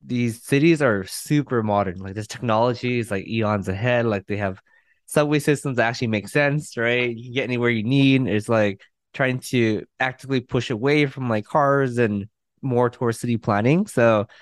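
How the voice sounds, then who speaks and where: A man's voice: medium pitch, normal speed, dry recording, studio quality. A man hosting an interview podcast, friendly and upbeat, with many "like" fillers. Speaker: podcast host.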